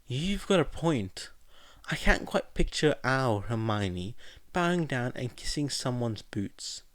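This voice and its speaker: Man voicing a halting, higher-pitched teenage boy. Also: big pitch swings, no echo. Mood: amused. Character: teenage boy, bewildered, good-humoured loyal friend. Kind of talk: audiobook character dialogue.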